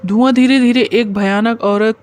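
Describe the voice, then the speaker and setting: Guy narrating a story from a script, pretty high voice, really clean sound. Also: fairly even intonation, moderate pace, smooth.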